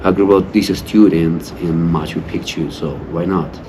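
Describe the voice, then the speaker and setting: Deep-voiced Peruvian man over background noise. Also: fairly even intonation, unhurried. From Cusco, Peru. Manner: repeats "you know" often. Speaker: local partner in conversation. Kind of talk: casual conversational explaining. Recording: clear background ambient noise.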